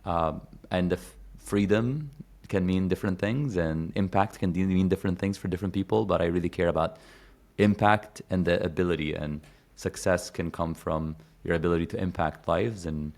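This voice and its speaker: A man's voice: deep voice, normal speed, gravelly, darkish tone. A man in his thirties from Egypt, a podcast guest talking casually with lots of fillers and restarts. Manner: rambling, many fillers and restarts. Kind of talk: casual conversation.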